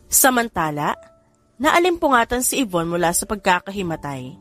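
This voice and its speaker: A woman's voice: mid-range voice, big pitch swings, moderate pace, a bit bright. A woman reading scripted third-person narration for a romantic drama audiobook.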